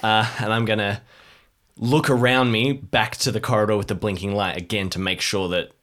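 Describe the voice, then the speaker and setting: A man's voice: fairly low pitch, steady tone, normal speed, a bit bright. A man playing in an improvised role-playing game, casual and a little hesitant as he thinks aloud.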